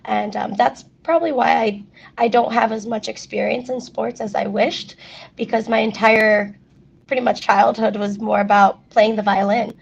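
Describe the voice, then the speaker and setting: A young adult woman, a native Spanish speaker, chatting as a fitness athlete guest, telling stories in an animated way with frequent laughs. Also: on the high side, normal speed, creaky, husky. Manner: animated storytelling, laughs often. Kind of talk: casual interview chat. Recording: dull lo-fi audio.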